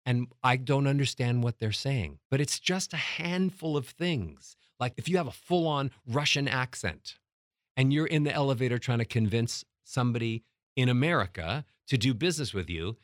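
A middle-aged man teaching as a voice coach, emphatic and demonstrating how to change loudness and pitch. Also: expressive, normal speed, dry recording, studio quality. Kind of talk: motivational teaching talk.

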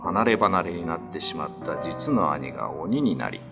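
Male narrator, low, very animated delivery, dramatic horror storytelling, scripted. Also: normal speed, creaky. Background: background music.

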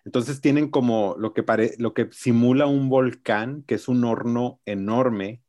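A man's voice: medium pitch, fairly even intonation, a little slow, dark tone, no room sound, studio quality. A man hosting a podcast, chatting in a friendly, easygoing way with his guest. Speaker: podcast host. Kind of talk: casual interview chat.

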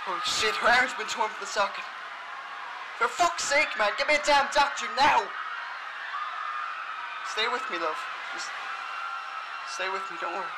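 A woman doing a gruff, swearing rescue sailor. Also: sits high, lively intonation, moderate pace, long pauses, very noisy. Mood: soothing.

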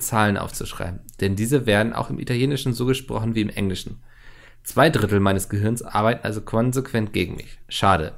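Gravelly guy cohosting a chatty podcast, reads fan mail, jokey. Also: medium pitch. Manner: reads listener letters aloud, jokey, laughs a lot. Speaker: podcast co-host. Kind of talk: casual podcast chat, reading listener mail.

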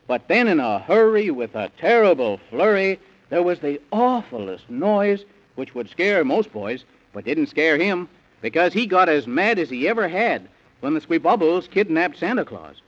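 A man's voice: fairly high pitch, big pitch swings, normal speed. A man narrating a rhyming children's bedtime story in theatrical, sing-song 1930s radio style, on an old recording. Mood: eager storytelling. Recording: dull, band-limited 1930s radio recording.

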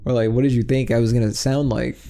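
A man's voice: medium pitch, little pitch movement, fast talker, vocal fry, mellow. A young man chatting casually on a podcast over a headset mic, rambling with lots of fillers and swearing freely. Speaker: podcast co-host. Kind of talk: casual chat.

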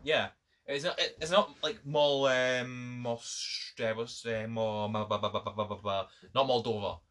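A man's voice: mid-range voice, steady tone, unhurried, a bit bright. A teenage boy with a Scottish accent, co-hosting a podcast in casual, joking chat with friends, often tripping over his words. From Scotland. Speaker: podcast co-host.

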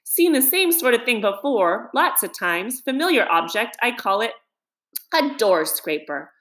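Storybook mole, played by a woman: small, male, indignant and literal-minded, with very lively, swooping delivery. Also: fairly high pitch, a little slow, voice pushed up. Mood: dismissive, grumbling. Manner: plaintive, pettish, indignant.

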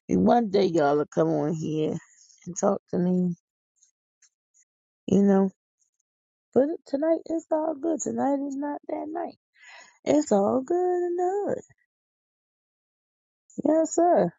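A woman's voice: on the high side, animated, slow, gravelly, pauses often, warm tone, slightly rough, no echo. A woman, hosting a podcast in a casual rambling monologue, tired, silly and folksy with exclamations. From Philadelphia. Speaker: podcast host. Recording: dull lo-fi dry recording.

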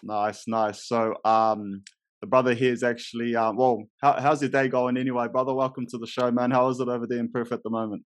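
A man's voice: medium pitch, little pitch movement, fairly quick, no echo, soft background music. A man hosting an interview in a laid-back way, calling the guest bro and brother and filling gaps with um. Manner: laid-back, calls everyone bro and brother, many fillers. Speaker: podcast host. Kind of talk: casual interview.

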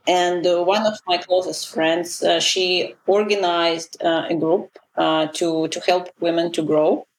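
Woman, lowish voice, gravelly edge, hesitant, lots of ums and restarts. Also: level tone, unhurried, a little hiss or hum, poor audio. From Israel. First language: Russian. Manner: hesitant, many fillers and restarts. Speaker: guest. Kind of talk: casual interview talk.

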